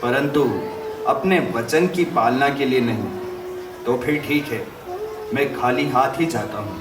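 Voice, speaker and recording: A man's voice: medium pitch, unhurried, background noise, natural voice. An actor playing a stern, reproachful great sage in a mythological drama, commanding and pointed in his oratory. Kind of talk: theatrical Hindi mythological audio drama. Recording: roomy distant playback with music behind.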